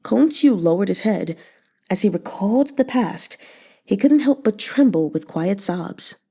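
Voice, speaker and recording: A woman's voice: mid-range voice, expressive, measured pace, dark tone, breathy edge. A woman reading a novel aloud in a dramatic audiobook style, with a long reverberant echo on the recording. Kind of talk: dramatic audiobook narration with acted dialogue.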